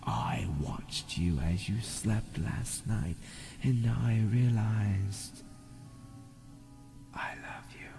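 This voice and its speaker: A man voicing a seductive vampire lover who speaks in a hushed, breathy, passionate whisper. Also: on the low side, soft background music, exaggerated voice. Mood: seductive, tender. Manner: slow, intense, romantic wooing. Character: seductive, passionate male vampire stalker, smooth predatory lover.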